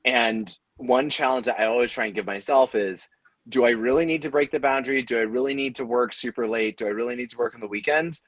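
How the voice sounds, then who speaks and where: A man's voice: mid-range voice, fast, a bit bright, no echo. A man speaking earnestly and conversationally on a panel, explaining how he leads his team. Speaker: audio drama company leader on a panel.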